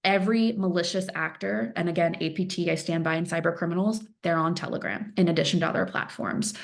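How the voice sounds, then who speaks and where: Earnest woman presenting a cyber briefing. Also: fairly low pitch. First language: Farsi speaker. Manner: earnest, emphatic, repeats phrases for stress. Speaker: threat intelligence analyst presenting. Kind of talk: webinar presentation.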